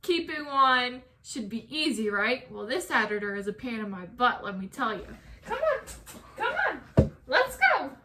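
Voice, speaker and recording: A woman author, animated and playfully exasperated, chatting casually and reading her own poem. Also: a little high, a little slow.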